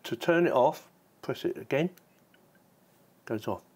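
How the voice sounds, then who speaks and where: A man's voice: mid-range voice, expressive, unhurried, darkish tone, slightly rough. A man hosting a casual product review, chatting informally and thinking aloud as he demonstrates the gear. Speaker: tech reviewer and channel host.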